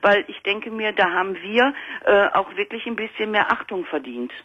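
A woman's voice: mid-range voice, expressive, moderate pace, a bit bright, husky. A woman calling a radio show, talking earnestly and hesitantly, with many fillers. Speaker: caller. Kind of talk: phone call to a radio show. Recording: muffled phone line.

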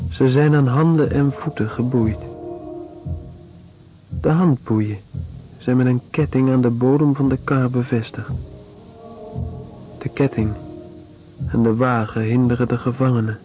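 A man playing a diarist who narrates clinical observations in a radio drama, measured, factual and detached. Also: medium pitch, lightly acted. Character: sober male diarist recording prison observations in clinical detail. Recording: dull lo-fi radio recording, music behind.

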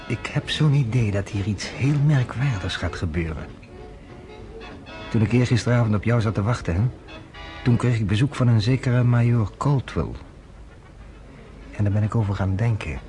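A worldly journalist speaks calmly and persuasively with a dry wit, played by a man. His voice sits on the low side. Faint music plays underneath in this radio drama. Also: normal speed, long pauses, close to an everyday voice. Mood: wry. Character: worldly, wry male journalist. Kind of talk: radio play acting.

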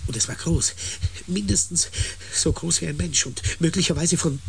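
A man's voice: fairly high pitch, normal speed, gravelly, a little hiss or hum. A man acting a frightened, unsure planetary surveyor in a radio play, stammering and repeating words as he speaks. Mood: shaken, halting.

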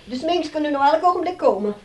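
High-voiced fussy housewife. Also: female voice, expressive, quick pace, darkish tone. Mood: brisk, nagging. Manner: hesitant, nagging, managing. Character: fussy, bossy housewife, daughter of old man. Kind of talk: radio play acting.

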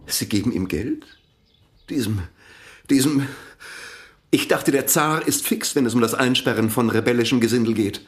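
A man's voice: mid-range voice, normal speed, pauses often, lightly acted. An actor playing a zealous, pompous village priest who invented his own language, in a radio play. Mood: indignant, grandiose. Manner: pompous, insistent.

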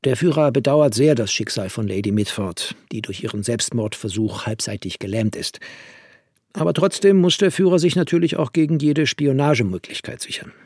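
Cold fanatical nazi minister reading his diary, raspy, dead dry studio sound. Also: mid-range voice, animated, moderate pace, darkish tone, dry recording. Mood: cold justifying.

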